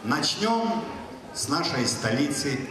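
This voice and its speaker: Guy doing stand-up, talks right to the crowd, bit high voice, big echoey hall. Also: very animated, slow, recorded from a distance. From Soviet Union. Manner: chatty, addresses the audience directly. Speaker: stand-up comedian. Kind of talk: stand-up monologue. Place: large stage hall. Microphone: handheld mic, filmed from far. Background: audience.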